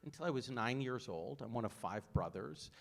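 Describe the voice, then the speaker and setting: A man's voice: mid-range voice, animated, normal speed, vocal fry. A middle-aged man, an academic guest, speaking in a stage conversation, self-deprecating and full of fillers and restarts. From United States, raised in Saudi Arabia. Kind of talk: conversation before an audience.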